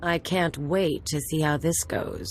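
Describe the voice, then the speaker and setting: A shady female adventurer with a lowish voice. She is cocky and flirtatious, tossing out lines with casual, teasing swagger in a sci-fi game. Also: unhurried, a little hiss or hum. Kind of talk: video game voice acting.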